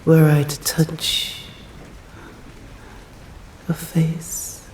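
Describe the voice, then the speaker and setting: A woman with an English accent voicing a stern, hungry yet protective female vampire in an ASMR roleplay, whispering slowly in formal, old-fashioned phrasing. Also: low voice, monotone, a little mellow, roomy sound, slight background noise, low-quality recording. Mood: hushed, tender.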